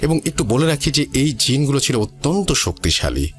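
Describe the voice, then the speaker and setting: A man's voice: mid-range voice, sing-song, moderate pace. A man with a Bangladeshi accent, hosting a show and reading out listeners' ghost stories. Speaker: host of a ghost story show. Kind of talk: reading listeners' emailed horror stories aloud. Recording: voice over background music. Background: music.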